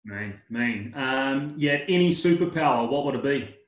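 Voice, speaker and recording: A man co-hosting, firing off quick questions in a brisk, upbeat way. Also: medium pitch, animated, roomy sound, lo-fi sound. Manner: brisk, upbeat, short replies. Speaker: co-host. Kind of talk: quick-fire interview questions.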